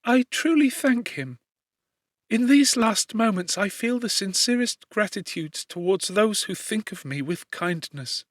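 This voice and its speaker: A man voicing a gentle, condemned young woman in an audiobook, speaking tenderly and formally with sorrowful resignation. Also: high voice, expressive, a little slow, no room sound, studio quality, voice pushed up. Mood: grateful, resigned. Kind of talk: audiobook character dialogue.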